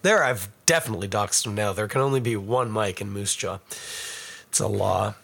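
A middle-aged Canadian man, hoarse and raspy from a cold, hosting a casual podcast and rambling in a self-mocking way to viewers. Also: lowish voice, moderate pace, a bit bright. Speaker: podcast host. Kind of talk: casual live-stream chat.

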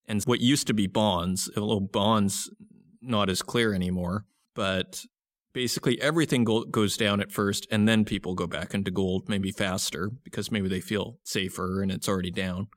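A man's voice: fairly low pitch, normal speed. A man hosting a podcast, giving conversational market commentary and explaining in short phrases as he thinks aloud. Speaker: podcast host.

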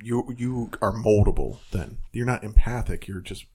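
A man's voice: on the low side, normal speed, darkish tone, breathy edge. A married man co-hosting a podcast, joking sarcastically and swearing in casual banter. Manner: sarcastic, profane, drawling. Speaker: podcast co-host.

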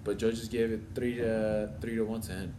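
Young male boxer from LA, quick and slangy, swears often, recorded over noisy background ambience. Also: on the low side, flat delivery. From Los Angeles. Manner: slangy, swearing, fast storytelling. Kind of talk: casual interview talk.

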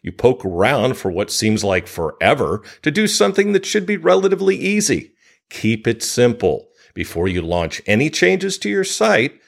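Guy reading out podcast advice, medium pitch, super swoopy animated delivery. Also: gravelly. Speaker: podcast host giving a business tip. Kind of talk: scripted advice narration.

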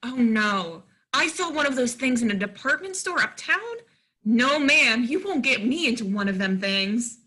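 Woman playing a folksy, bright, lively young hopeful. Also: on the high side, moderate pace, breathy edge, heavily acted. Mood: earnest, folksy. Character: plain-spoken, friendly, naive young woman hoping to model. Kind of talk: readers theatre play reading.

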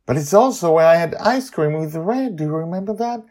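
A man voicing an excitable eleven-year-old adventurous girl in a story, exclaiming with eager wonder. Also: fairly high pitch, a little fast, voice pushed up, close to an everyday voice. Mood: fond, eager.

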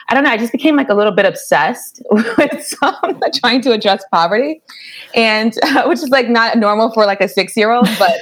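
A woman talks as a guest on an interview podcast, her voice sitting a little low. She tells her story in a lively, talkative way and laughs easily between thoughts. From the Bronx, New York. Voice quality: laughs often, animated storytelling. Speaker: guest, professor. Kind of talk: conversational interview.

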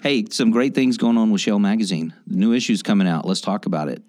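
A man co-hosting a radio talk show, his voice a little raspy, chatting in an easygoing, jokey way. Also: lowish voice, fast talker, a little mellow. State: slightly raspy voice. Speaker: radio co-host.

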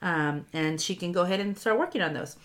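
A woman's voice: fairly low pitch, fairly quick. A congested woman co-hosting a podcast, recapping a reality show in casual chat, swearing freely with lots of ums and likes.

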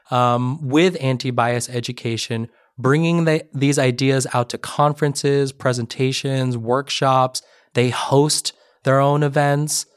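Man, middle-aged guest, earnest, storytelling, restarts sentences, medium pitch, dry close sound. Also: clean tone, dry recording. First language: Korean. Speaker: podcast guest, education advisor. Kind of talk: conversational interview.

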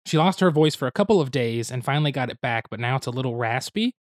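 A slightly hoarse man from Oklahoma, co-hosting a podcast in easygoing, rambling casual chat. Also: mid-range voice, lively intonation, fast talker, no echo, studio quality. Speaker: podcast co-host.

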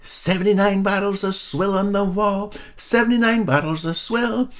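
A squeaky little pig hero. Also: male voice, slow, heavily acted. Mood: singsong. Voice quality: squeaky, high. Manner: calm, stubborn sing-song. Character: tiny brave squeaky male pig hero.

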